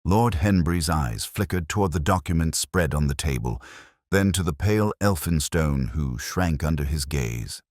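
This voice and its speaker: Very deep-voiced male story narrator. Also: lively intonation, darkish tone, no echo, clean studio sound. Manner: measured, literary, dramatic. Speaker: audiobook narrator. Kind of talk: scripted dramatic story narration.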